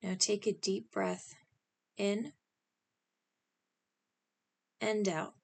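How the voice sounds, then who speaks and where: A woman leading a scripted guided meditation in a calm, instructional manner, speaking in short, spaced phrases. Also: mid-range voice, drawn-out words, vocal fry.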